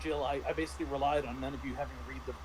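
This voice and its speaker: A man's voice: medium pitch, little pitch movement, rapid-fire. A middle-aged man with a mid-range voice talks casually about tabletop gaming. He stammers and repeats words as he works through a thought. The online call recording has some faint noise. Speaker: game master reviewing a tabletop RPG. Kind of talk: casual chat.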